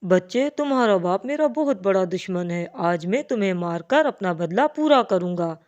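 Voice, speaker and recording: A woman's voice: medium pitch, animated, moderate pace, darkish tone, dull audio, natural voice. A woman voicing a menacing, commanding male hawk in a children's cartoon. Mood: vengeful. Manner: commanding, threatening. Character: menacing male hawk who hunts little birds.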